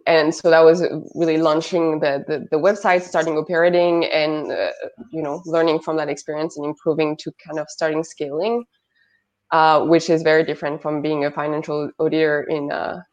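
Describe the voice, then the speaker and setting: A woman's voice: low-pitched, level tone, moderate pace, low-quality recording. A young woman with a French accent, a guest in an interview, talking with many fillers and restarts. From northern France. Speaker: guest, product manager. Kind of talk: interview conversation.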